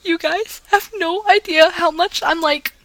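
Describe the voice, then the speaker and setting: A woman reading romantic fan fiction aloud in a casual, expressive amateur style, stumbling over words and restarting as she goes. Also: extremely high pitch, measured pace, low-quality recording. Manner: gushing asides.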